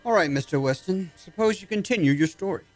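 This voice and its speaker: A man's voice: high voice, expressive, a little fast, soft background music, rough recording. A man acting in an old-time radio drama as a probing, authoritative army psychiatrist with a clipped, commanding manner. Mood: probing, skeptical.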